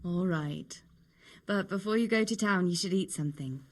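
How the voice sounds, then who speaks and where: A woman playing a caring, practical young village woman in a medieval video game, speaking gently and matter-of-factly with light teasing. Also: on the low side, normal speed, close to an everyday voice. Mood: practical, firm. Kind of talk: video game cutscene acting, natural dialogue.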